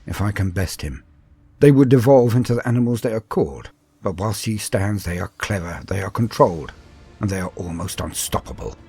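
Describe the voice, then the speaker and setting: A man voicing a proud, calculating veteran warrior lord in a sci-fi story, narrating his battle in solemn, theatrical and deliberate phrases. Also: on the low side, expressive, mellow, husky, faint music behind. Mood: grim resolve. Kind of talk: dramatic first-person story narration.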